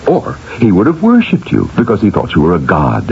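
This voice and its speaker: Intense husband with wildly swinging pitch. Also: male voice, huge pitch swings, a little fast, gravelly, a little mellow, breathy edge, heavily acted. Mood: fervent, insistent. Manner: intense, insistent, emphatic persuasion. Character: earnest, guilt-ridden husband obsessed with psychic forces. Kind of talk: old-time radio drama acting. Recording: muffled vintage radio, faint hiss.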